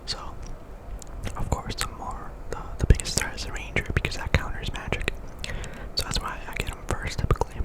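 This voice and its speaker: A man giving ASMR game commentary in a breathy, unvoiced whisper, often softly repeating words and phrases. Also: fairly quick, poor audio. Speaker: gaming video host.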